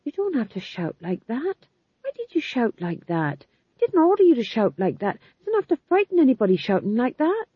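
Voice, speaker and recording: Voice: medium pitch, big pitch swings, moderate pace, slightly rough, no echo, highs cut off. A narrator voicing a mild, fussy male king with a polite, hesitant manner that tips into exasperation. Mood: hurt, complaining.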